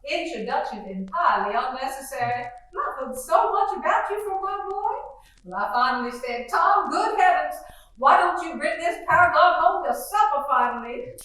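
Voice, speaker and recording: Faded belle mom, high voice, sing-song, echoey room from far off. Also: female voice, expressive, normal speed, pushed and bright. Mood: gushing. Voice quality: fluttery, gushing, rambling chatter. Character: faded Southern belle mother, middle-aged, fussy. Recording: echoey roomy sound from far off.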